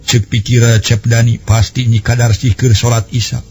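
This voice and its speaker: A man's voice: medium pitch, level tone, normal speed, darkish tone, slight background noise. A man with a West Javanese accent telling a story in dramatic radio style, recorded on old cassette. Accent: Sundanese-language West Javanese.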